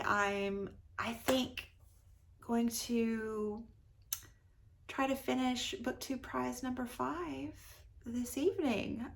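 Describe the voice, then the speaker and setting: Chatty woman with a creaky voice. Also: sits high, bright, forceful tone, a little hiss or hum. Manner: enthusiastic, conversational, gushing about books. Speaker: book vlogger reviewing her recent reads. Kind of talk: casual, unscripted book chat to camera.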